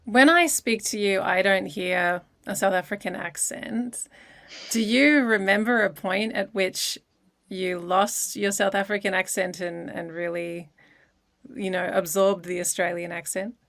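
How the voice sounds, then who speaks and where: A woman's voice: mid-range voice, fairly even intonation, measured pace, vocal fry, a bit bright. A woman interviewer with a mid-range voice. She asks curious, conversational follow-up questions. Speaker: podcast host.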